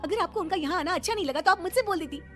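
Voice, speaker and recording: A woman voicing an indignant young daughter-in-law who reproaches her mother-in-law in an animated story. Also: high voice, a little fast, soft background music, pitched above the performer's own voice. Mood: reproachful. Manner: reproachful, protesting.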